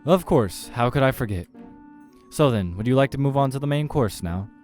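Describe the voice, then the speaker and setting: A man's voice: mid-range voice, expressive, moderate pace, a little mellow, faint music behind, pitched above the performer's own voice, close to an everyday voice. A man voicing a polite, affable human man on a date in a visual novel, mild and accommodating. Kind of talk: acted visual novel dialogue.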